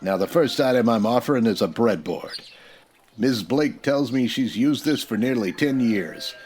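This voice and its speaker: An American actor playing a steady, dryly defiant Old West marshal in a radio western, with a folksy, laconic delivery. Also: male voice, medium pitch, normal speed, slight background noise, natural voice.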